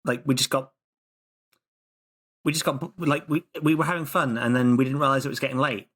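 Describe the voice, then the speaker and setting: A man playing a tabletop game in character, talking in halting, apologetic restarts. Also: mid-range voice, steady tone, a bit bright, slightly rough. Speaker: player.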